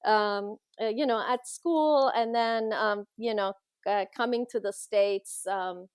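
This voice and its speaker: A woman's voice: mid-range voice, slow, smooth, no echo, studio quality. A middle-aged woman from Iran telling her personal story conversationally as a podcast guest, in a heartfelt way.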